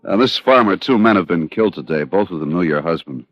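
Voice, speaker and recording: An actor playing a dry, persistent insurance investigator in an American hard-boiled radio drama, terse and matter-of-fact. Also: male voice, deep voice, lively intonation, fast talker, dry recording, faint music behind. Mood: probing. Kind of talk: 1950s hard-boiled radio detective acting. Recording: dull old radio recording.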